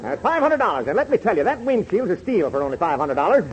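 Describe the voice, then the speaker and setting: A man's voice: sits high, sing-song, fast talker, over a noisy crowd. A man playing a brash, shameless used car salesman with hearty patter, in 1940s radio comedy style. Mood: hearty, slick. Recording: muffled, very noisy 1940s radio recording.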